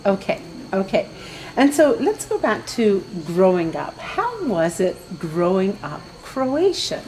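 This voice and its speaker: Woman moderator, slow, deliberate questions. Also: medium pitch, sing-song, darkish tone, a little hiss or hum, rough recording. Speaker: interview moderator. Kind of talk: interviewing.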